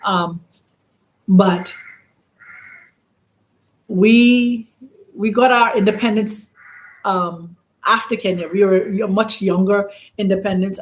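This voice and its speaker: A woman from the Bahamas speaks in a loose, informal monologue as a channel host. Her voice sits at a medium pitch, and she rambles, often stopping to hunt for the right word before carrying on. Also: poor audio. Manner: rambling, often pausing to search for words.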